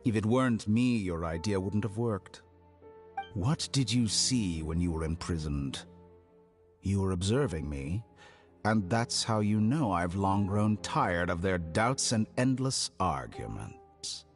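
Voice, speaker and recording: A man's voice: low-pitched, expressive, a little slow, soft background music. A man playing an arrogant, calculating villain scholar in a video game, speaking in a formal, theatrical, condescending manner. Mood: intrigued, condescending.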